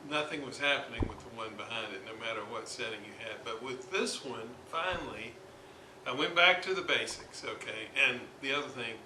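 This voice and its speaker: A man giving an informal hands-on repair demonstration, thinking aloud in a halting way with long pauses. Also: mid-range voice. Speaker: hobbyist radio restorer presenting his repair. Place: indoors at a repair workbench. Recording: roomy, noisy amateur workshop recording.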